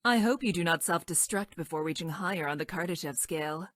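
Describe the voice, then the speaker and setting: Low-voiced, clinical future scientist. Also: female voice, fairly quick, dry recording, studio quality. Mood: clinical fondness. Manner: stilted, formal, technical speech with deadpan delivery. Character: stranded female time-traveling scientist from the future, clinical, detached. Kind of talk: scripted video game character lines.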